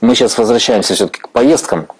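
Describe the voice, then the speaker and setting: A man's voice: medium pitch, fast talker, slightly rough. A man in his forties from Ukraine who interviews his guest on a church stage, candid and reflective, laughing easily. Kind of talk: conversational interview.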